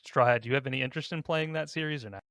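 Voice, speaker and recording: A man's voice: medium pitch, rapid-fire, vocal fry, a bit bright, no echo, studio quality. A man hosting a podcast, talking casually as he runs through a ranking discussion. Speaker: podcast host.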